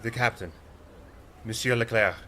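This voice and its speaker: Man playing a bright-voiced, earnest young sailor. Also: mid-range voice, normal speed, a little hiss or hum, natural voice. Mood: solemn. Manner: respectful, formal, sincere. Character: earnest, dutiful young male sailor, eager and honourable. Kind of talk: audio drama acting.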